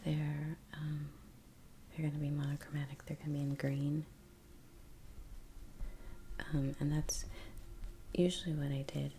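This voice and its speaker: A woman speaking softly in a breathy half-whisper, chatting unscripted in an ASMR style while she works, with pauses between phrases. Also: low-pitched, level tone. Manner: hushed, slow, with long pauses. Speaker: ASMR painter and presenter. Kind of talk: soft-spoken ASMR, unscripted chat while painting. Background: faint ambient noise, brush sounds.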